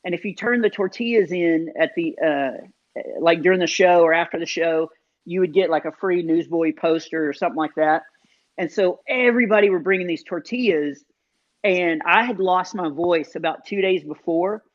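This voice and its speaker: A man, a comedian guest in an interview, telling self-deprecating stories in an animated, casual way with many fillers. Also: fairly high pitch, moderate pace. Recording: dull lo-fi sound.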